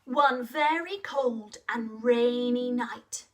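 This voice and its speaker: A woman telling a story to children in an expressive, interactive way, asking questions and encouraging them to act along. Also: on the high side, slow pace, distant mic.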